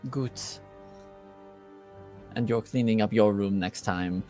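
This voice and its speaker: A stern Duke, voiced by a man in a fantasy roleplaying game, formal and admonishing. His voice sits fairly low and he picks his words slowly over faint music. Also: a little mellow. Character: stern, discreet Duke scolding his younger relative.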